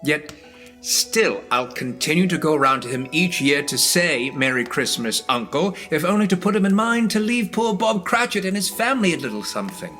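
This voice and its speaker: An actor playing a kindly, sentimental young gentleman in a radio play, genial and earnest. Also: fairly high pitch, sing-song, moderate pace, faint music behind. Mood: wistful, fond.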